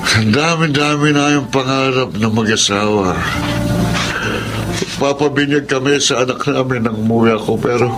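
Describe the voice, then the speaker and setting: A man's voice: medium pitch, moderate pace, lightly acted. A man voicing a grieving young widower and seaman in a radio drama, anguished and pleading. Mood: bitter despair. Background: background music.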